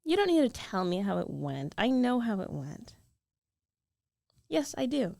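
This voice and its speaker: A woman's voice: on the high side, big pitch swings, measured pace, gravelly, a little mellow, no echo, full character voice. Woman acting a jealous roommate, close and dry. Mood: worried, scolding. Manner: emotional, conversational, pleading and protesting. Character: jealous, defensive young woman roommate secretly in love. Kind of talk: girlfriend ASMR roleplay acting. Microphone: close studio microphone, dry.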